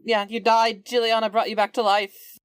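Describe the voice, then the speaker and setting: A woman's voice: on the high side, flat delivery, moderate pace, clean tone, no room sound. A woman chatting in character as a player in an improvised tabletop role-playing game.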